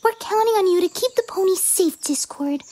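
Woman doing shy sweet girl pegasus, really high voice, lilting, earnest cartoon. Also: lively intonation, brisk, warm tone, no echo. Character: timid, gentle young female pegasus pony. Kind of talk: fan cartoon voice acting.

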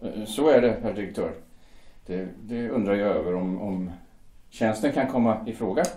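A man's voice: lowish voice, mellow, lightly acted. An actor playing a hesitant young male shipyard worker who stammers deferentially, in an audio drama. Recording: roomy echo.

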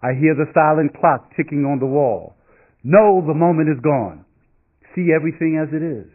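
A middle-aged man reading poetry from a script on stage, in measured, deliberate phrases with dramatic pauses. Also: on the high side, narrow, tinny audio. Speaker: reader at a literary event. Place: stage in an indoor auditorium. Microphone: stand microphone at a lectern.

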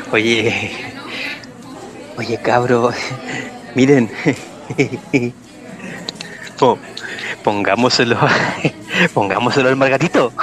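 A man's voice: mid-range voice, very slow, long pauses. A man playing a mocking schoolboy bully who giggles and eggs on classmates in a radio drama. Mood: mocking, gleeful. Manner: jeering, giggly. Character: mocking schoolboy bully egging on his mates. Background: crowd noise.

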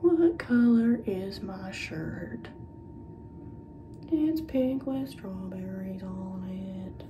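A woman doing an ASMR roleplay as a clinical examiner, speaking softly, often in whispers, and giving short, playful instructions. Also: medium pitch, lively intonation, drawn-out words. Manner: soft-spoken, often dropping into whispers. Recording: dull lo-fi audio with clear background noise.

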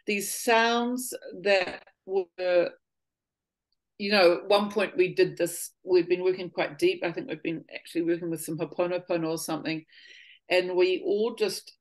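A woman in her fifties who leads voice-healing workshops talks earnestly on a video call, searching for her words and letting phrases trail off softly. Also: lowish voice, steady tone, measured pace. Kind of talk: casual spiritual conversation. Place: home living room.

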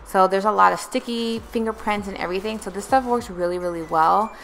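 A woman's voice: mid-range voice, a little fast, a bit bright, low-quality recording. A young woman vlogger talking casually and encouragingly in a voice-over about cleaning her home, with many fillers. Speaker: lifestyle vlogger.

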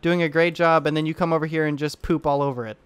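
A man's voice: on the high side, flat delivery, fast talker, smooth, dry recording. A man bantering casually in game voice chat, insistent and mock-aggrieved. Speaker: online game player trolling in roleplay.

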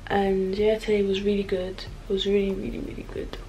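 Young woman, casual vlog chat, medium pitch, indoor room, faint noise. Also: little pitch movement, brisk, mellow.

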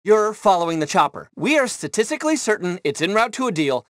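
An actor playing an arrogant, manic tech tycoon in a video game, pompous, demanding and theatrical. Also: male voice, high-pitched, very animated, fast, fairly bright tone, dry recording, studio quality. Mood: haughty, impatient.

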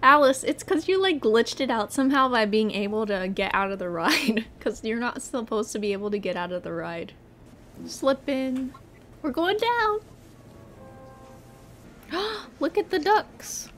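A young woman chatting excitedly with friends while playing a game, often gasping and laughing. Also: fairly high pitch, unhurried. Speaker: player. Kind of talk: casual gaming chat. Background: game music.

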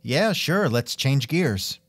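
A man with a lively, swooping delivery hosts a casual interview. He sounds wry and musing, and the recording is close and dry. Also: mid-range voice, slow, husky, no room sound. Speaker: podcast host and interviewer. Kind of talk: casual scripted interview chat.

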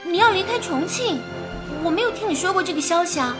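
Teasing sharp-tongued woman in a radio play, high voice, music underneath. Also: expressive, fairly quick. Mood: curious. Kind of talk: radio drama acting.

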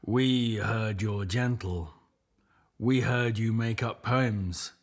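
A man's voice: lowish voice, slow pace. A man voicing a fierce, menacing storybook lion, growling and drawing out the words emphatically. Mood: stern, menacing. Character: pack of fierce, menacing male lions.

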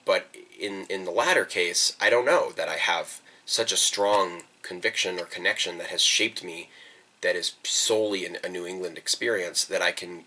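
A man's voice: sits low, normal speed, sharp tone, low-quality recording. A young man reflecting thoughtfully on where he is from, hesitating and repeating words as he thinks, in a small room. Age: young adult. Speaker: vlogger. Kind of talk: reflective personal documentary talk. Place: small bedroom indoors.

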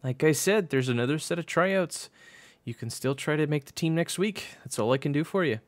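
A man voicing a gruff, sardonic male baseball coach with a brusque, casual drawl in an audiobook story. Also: a little high, animated, a little fast, voice pushed up, natural voice. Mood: dismissive.

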